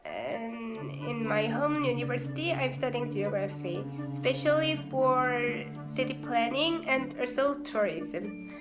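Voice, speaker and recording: A woman's voice: fairly high pitch, lively intonation, a little slow, over music, poor audio. A young woman, an exchange student, casually introducing herself and her studies with a few hesitant fillers. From South Korea. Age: 20s. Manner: chatty, occasional hesitant fillers.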